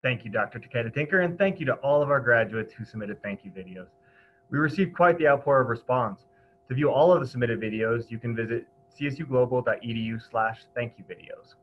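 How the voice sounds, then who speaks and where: A man's voice: mid-range voice, fairly quick. A man in his thirties hosting a formal live ceremony over a webcam. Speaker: ceremony host. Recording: dull audio, faint noise and hum.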